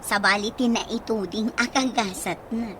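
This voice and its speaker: An actress with an Ilocano accent playing a teasing, lively young woman in a radio drama. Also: mid-range voice, normal speed, noisy background, close to an everyday voice. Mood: teasing, gossipy.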